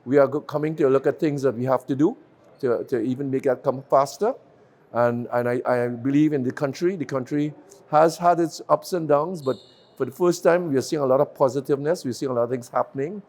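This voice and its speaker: Mellow-voiced Caribbean businessman, rambling. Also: medium pitch, fairly quick. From Guyana. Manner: rambling, with frequent restarts and fillers. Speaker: businessman interviewee. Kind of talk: interview answers.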